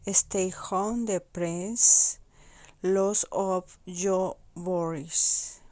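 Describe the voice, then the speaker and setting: Woman, low voice, slow hesitant poem reading. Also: steady tone, mellow, no echo. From Colombia. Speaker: language student. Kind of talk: reading her own poem aloud.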